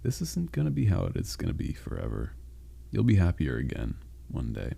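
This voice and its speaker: A gravelly, gentle sleep demon. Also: male voice, huge pitch swings, full character voice. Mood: soothing. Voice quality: deep gravelly growl. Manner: soft, reassuring, halting and unhurried. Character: caring, supportive male sleep paralysis demon. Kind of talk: comforting roleplay monologue.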